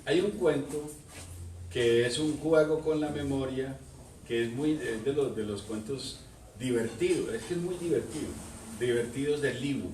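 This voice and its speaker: A man, moderating a literary talk and asking the author questions in a measured, thoughtful way. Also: mid-range voice, a little mellow. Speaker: moderator at book launch. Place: bookstore event room. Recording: echoey room from far off with faint noise.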